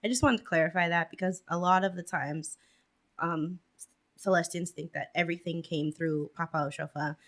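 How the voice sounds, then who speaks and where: A woman's voice: on the low side, fairly even intonation, moderate pace. A young woman hosting a casual chat about church practice, asking questions and laughing often. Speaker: podcast host. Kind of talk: casual religious discussion.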